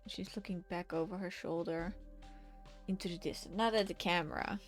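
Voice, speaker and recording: A woman's voice: lowish voice, steady tone, moderate pace, faint music behind. A woman streaming while she paints, chatting casually with her viewers and often half-whispering to herself as she works. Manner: chatty, reacting to chat with laughs. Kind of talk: casual chat while drawing.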